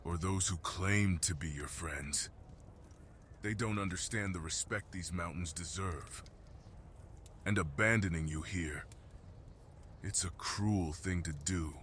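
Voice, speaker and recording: Man voicing a very deep, gentle mountain guardian creature. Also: a little hiss or hum. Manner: measured, solemn, reassuring. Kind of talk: ASMR fantasy roleplay, slow intimate monologue.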